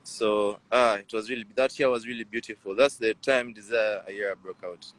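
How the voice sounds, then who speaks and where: A man in his twenties with a Ugandan accent, telling personal stories in casual chat as an interview guest, animated and repeating phrases for emphasis. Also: lowish voice, moderate pace, low-quality recording. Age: 20s. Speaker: rugby player, interview guest.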